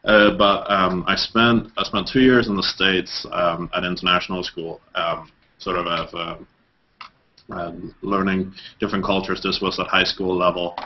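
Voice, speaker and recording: A man's voice: deep voice, little pitch movement, normal speed, dull audio, slight background noise. A man in his thirties from Denmark, a guest in a video call interview, talking with many fillers and restarts.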